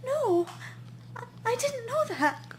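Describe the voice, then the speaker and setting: A woman's voice: extremely high pitch, sing-song, takes it slowly, slight background noise, heavily acted, rough recording. An actress playing a bereaved, polite and hesitant woman client in a noir radio play. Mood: sad, grateful.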